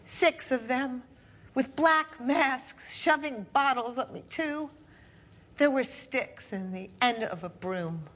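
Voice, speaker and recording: A woman performing a stage monologue as a grieving young village woman who survived war, speaking in slow, halting, incantatory lines. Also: high voice, a bit bright, pitched above the performer's own voice, full character voice. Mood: anguished.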